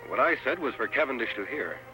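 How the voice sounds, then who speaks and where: An American actor playing a steady, commanding masked cowboy hero in an old-time radio western. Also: male voice, medium pitch, fast talker, rough recording. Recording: old radio broadcast with music behind.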